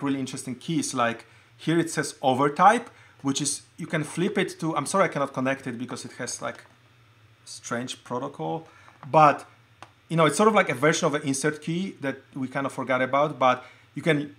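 A middle-aged man from Poland hosting a casual show-and-tell livestream, talking in a halting, conversational way and often interrupting himself. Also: medium pitch, fairly bright tone. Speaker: livestream host showing collected keyboards. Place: indoor room at a desk.

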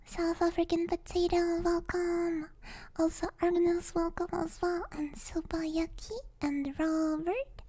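A young woman speaking in a soft, breathy, often whispered voice, chatting hesitantly and gently as an ASMR streamer close to the microphone. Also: extremely high pitch, little pitch movement, slow pace. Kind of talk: ASMR, casual chat with viewers. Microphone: close stereo ASMR microphone.

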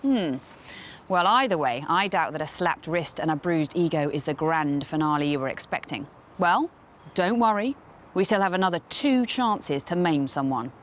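Wry low-voiced woman presenter. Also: lively intonation, moderate pace, a little hiss or hum. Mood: wry, arch. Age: 30s. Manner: arch, ironic, pointed rhetorical questions. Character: wry, knowing woman presenter with dark humour. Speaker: on-screen presenter of a safety training film. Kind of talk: scripted dramatic narration to camera. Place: outdoors on a railway platform and track.

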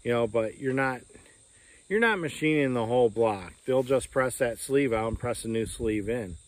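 A man's voice: mid-range voice, normal speed. A man in his forties giving a rambling, folksy walkaround of a tractor, talking into a phone held at arm's length outside on a farm. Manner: rambling, folksy, with fillers like "uh" and "you know". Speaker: homesteading vlogger. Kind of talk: casual walkaround vlog. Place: outdoors on a rural farm lawn. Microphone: phone camera at arm's length.

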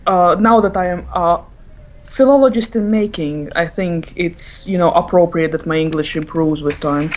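A woman's voice: fairly low pitch, moderate pace, a little mellow. A woman from Latvia with a slight Latvian accent, a philology student chatting on a podcast in a wry, self-deprecating way. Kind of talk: casual group chat over voice call.

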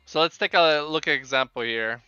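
Casting: Polish-accented man, game streamer, halting chatty delivery, dry but dull lo-fi sound, music behind. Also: fairly high pitch, sharp tone, smooth, no echo. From Poland. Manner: halting, repeats words. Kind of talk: casual live chat with viewers while gaming. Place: small home room with bookshelves.

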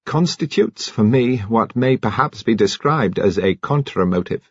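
A man voicing a nervous, fussy English gentleman with precious phrasing in a storybook reading. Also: fairly low pitch, expressive, measured pace, slightly rough, no echo, dull audio. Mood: fussy, guarded. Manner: fussy, precious phrasing, gabbling when upset.

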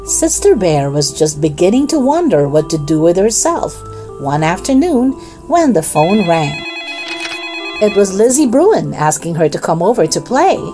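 Woman, children's story narrator, slow pace, lively pitch, deliberate, music behind. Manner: slow, expressive, deliberate delivery for young listeners. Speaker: children's read-aloud host and narrator.

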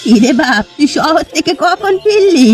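Woman acting old rustic mother, high voice, homely and fretful, exclaiming, cartoon storytelling, light music behind. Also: sing-song, normal speed. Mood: fond, delighted. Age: older. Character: old village mother, stubborn with outsiders, doting on son. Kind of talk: Bengali fairy-tale cartoon dubbing.

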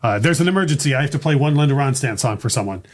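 A man's voice: medium pitch, lively intonation, rapid-fire. A middle-aged man hosting a casual music podcast in a small studio, talking in a loose, rambling way with many fillers and restarts. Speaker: podcast host. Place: small studio room with purple lighting.

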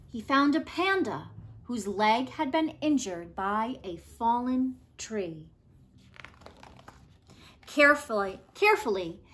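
Animated, high-voiced woman reading a storybook. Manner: expressive, deliberate phrasing. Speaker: teacher reading stories to pupils. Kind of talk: read-aloud children's storytelling. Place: room at home, indoors.

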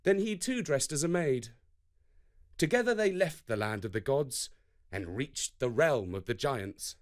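A man's voice: mid-range voice, animated, measured pace. A man narrating a scripted children's story as a storyteller.

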